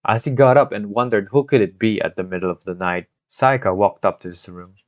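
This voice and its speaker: Man, low voice, storytelling game recap narration, dramatic phrasing, muffled lo-fi mic. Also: fairly quick, no room sound. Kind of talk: scripted story recap narration with personal asides. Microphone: home microphone, scuffed in parts. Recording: dry, dull lo-fi home microphone.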